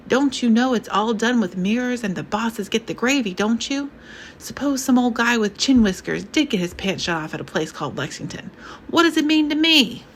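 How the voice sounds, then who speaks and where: A woman's voice: fairly high pitch, slight background noise, pitched above the performer's own voice, natural voice. A woman voicing a scornful young male radical who taunts in a heated way.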